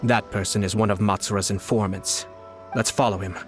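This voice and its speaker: An actor playing a calm, scheming male commissioner in a video game, speaking in a measured, courteous, formal way. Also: fairly low pitch, soft background music, close to an everyday voice. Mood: composed, purposeful. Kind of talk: scripted video game dialogue.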